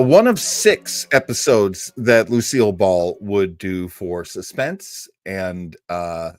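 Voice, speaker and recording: A man's voice: lowish voice, no echo, studio quality. A man hosting with casual, joking commentary, wry and a little hesitant. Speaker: podcast host commenting on old radio.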